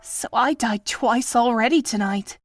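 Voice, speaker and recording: Woman voicing a high-pitched, spirited young female detective. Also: measured pace, faint music behind. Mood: pensive. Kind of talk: dramatic fan dub of a video game.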